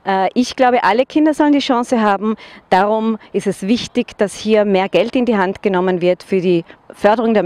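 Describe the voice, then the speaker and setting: A woman's voice: medium pitch, a little mellow, dry recording. A middle-aged woman teacher from Vorarlberg with an Austrian German accent, speaking earnestly outdoors into a handheld microphone in front of a school. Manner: earnest, measured, with occasional hesitations. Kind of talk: personal testimonial to camera.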